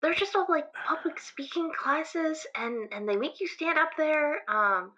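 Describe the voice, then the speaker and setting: Woman playing an anxious student, high bright voice. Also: expressive, a little slow, heavily acted, rough recording. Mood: anxious, dejected. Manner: hesitant, stammering, unsure. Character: anxious, self-doubting young female student, eager to please. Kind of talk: improvised actual-play audio drama, conversational.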